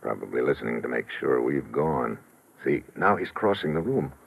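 An actor playing a blunt, hard-boiled American investigator and narrator in an old-time radio drama, talking in clipped slang. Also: male voice, extremely low pitch, sing-song, moderate pace, gravelly, breathy edge, heavily acted. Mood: hushed, intent. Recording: muffled, noisy vintage radio recording.

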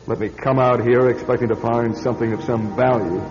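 An actor playing an irritable, cynical, self-pitying salesman in a radio drama, gruff, sarcastic and always complaining. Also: mid-range voice, fairly even intonation, a little fast, a little mellow, faint music behind, lightly acted. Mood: bitter, annoyed. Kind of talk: classic radio drama acting. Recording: dull radio sound, long reverb.

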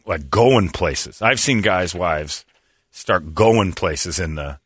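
A man's voice: sits low, animated, slow pace, fairly bright tone, breathy edge, no echo, clean studio sound. A man hosting a morning radio show, joking and laughing through casual banter.

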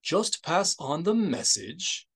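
A man's voice: on the high side, sing-song, takes it slowly, breathy edge, pitched above the performer's own voice, heavily acted. A man playing a stern, suspicious military colonel in an audiobook, stiff, guarded and commanding. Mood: grim, irritated.

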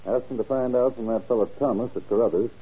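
A man playing a husky, fast-talking sheriff. He gives clipped, authoritative lines in vintage radio acting style, on a dull old recording. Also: medium pitch, lightly acted. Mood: brisk, commanding. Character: brisk, businesslike male sheriff or official.

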